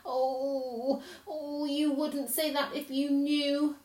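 A woman's voice: on the high side, unhurried, a little mellow, close to an everyday voice. Woman voicing a mournful, self-reproachful storybook faun in an echoey room. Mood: sobbing. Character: sorrowful, guilt-ridden male faun, gentle and weepy.

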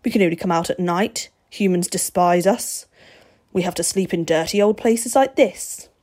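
A woman's voice: on the low side, normal speed, darkish tone, lightly acted. A woman voicing a stern, menacing male vampire with formal, clipped, growling speech, in a storybook reading. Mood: grim, weary.

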